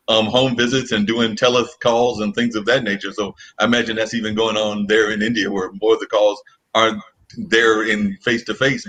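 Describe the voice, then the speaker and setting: A man's voice: fairly low pitch, a bit bright, low-quality recording. A man hosting a radio talk show from home over a video call, rambling with many filler phrases. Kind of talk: casual talk show chat.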